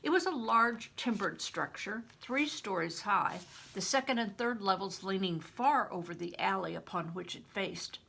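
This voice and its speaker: An older woman reading a story aloud as a narrator, with deliberate, emphatic expression. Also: mid-range voice, expressive, vocal fry, sharp tone, husky. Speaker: storybook reader, retired reading teacher. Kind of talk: expressive scripted audiobook narration.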